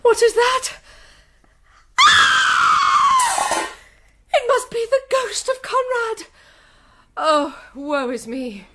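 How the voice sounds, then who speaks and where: An actress playing a distressed, virtuous young princess in a melodramatic, pleading gothic radio drama style. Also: female voice, extremely high pitch, big pitch swings, drawn-out words, long pauses, sharp tone, raised voice, full character voice. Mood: frightened.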